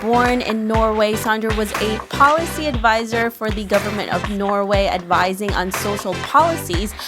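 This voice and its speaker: Woman, podcast host, upbeat, effusive, mid-pitched voice, music playing behind. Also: steady tone, moderate pace. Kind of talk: podcast interview.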